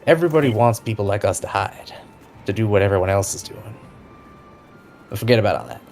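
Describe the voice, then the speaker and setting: A man's voice: lowish voice, animated, fast talker, a little mellow. A man playing a laid-back, self-assured mentor who would be king, in a tabletop audio drama, talking with encouraging assurance. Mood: reassuring. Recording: music behind the voice.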